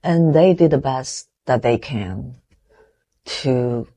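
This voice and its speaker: A woman in her forties from Taiwan with a Taiwanese accent, giving an earnest, reflective motivational talk with halting restarts. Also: rumbling low, lively intonation, mellow. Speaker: motivational vlogger.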